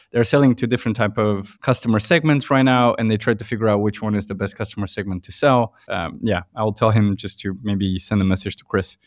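Guy host asking easygoing questions, deep-ish voice, dry close mic. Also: dry recording. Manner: relaxed, brief acknowledgements and open questions. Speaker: podcast host. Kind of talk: casual interview questions.

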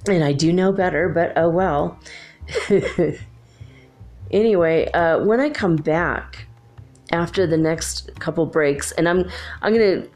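A woman's voice: on the low side, slow pace, long pauses, darkish tone, faint music behind. A middle-aged woman hosting a podcast, rambling casually with many ums and asides, a little congested from feeling under the weather. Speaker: podcast host. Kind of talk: casual solo podcast chat.

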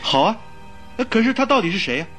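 A loyal doctor friend in a radio drama. His voice sits a little high and moves in lively swings. He speaks in an exasperated, protesting, questioning way. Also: quick pace, soft background music. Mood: puzzled, protesting.

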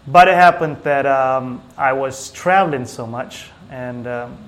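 A man from Singapore chatting casually as an interview guest, with hesitant, halting phrasing. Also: mid-range voice, a bit bright. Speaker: small business owner, IT technician, interview guest.

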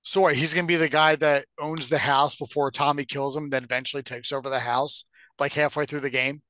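A man's voice: mid-range voice, steady tone, fairly quick, pushed and bright, no echo. A man co-hosting a podcast in casual, profane banter, giving blunt opinions. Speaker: podcast co-host.

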